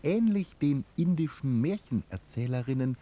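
An actor playing a wry, fanciful storyteller narrating in a radio drama. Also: male voice, mid-range voice, animated, normal speed, mellow, highs cut off, natural voice.

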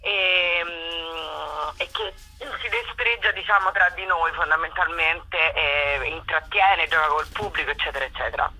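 A woman in a casual interview, speaking in a rambling, halting way with self-deprecating irony, as a comic performer. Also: deep voice, pushed and bright, slightly rough, rough recording. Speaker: comic actress and writer, interview guest.

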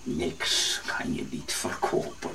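An actor playing an unflappable, dryly cheeky manservant in a radio drama, with a stubborn deadpan manner. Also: male voice, takes it slowly.

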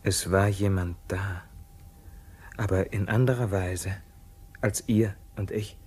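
A man's voice: sits low, fairly even intonation, slow pace, a little mellow, breathy edge, heavily acted. An actor playing a proud, defiant, haunted king in a theatrical radio play, declaiming commands with sudden outbursts. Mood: grim musing.

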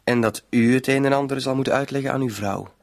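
Radio drama: male actor as mock-courteous blackmailer, gravelly creak, mid pitch, greedy, quietly menacing. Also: steady tone, fast, lightly acted. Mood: coolly threatening. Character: smooth-talking, polite, greedy male blackmailer. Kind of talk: Dutch-language radio drama acting.

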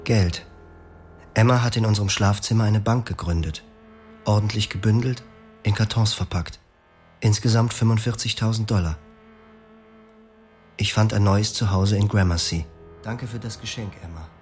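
A man narrating a radio drama in the first person, quiet, grave and measured. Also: fairly low pitch, faint music behind.

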